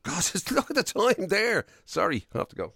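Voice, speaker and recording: Chatty Irish man with lively, swooping delivery. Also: high voice, normal speed, creaky, breathy edge, no echo, studio quality. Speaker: radio show co-host. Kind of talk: casual podcast banter.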